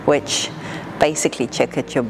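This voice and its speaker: A woman in her forties from southern Africa, talking in a measured, matter-of-fact way as an air traffic controller being interviewed. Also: a bass voice, steady tone. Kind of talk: explanatory interview talk. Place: indoor room with wood-panelled wall.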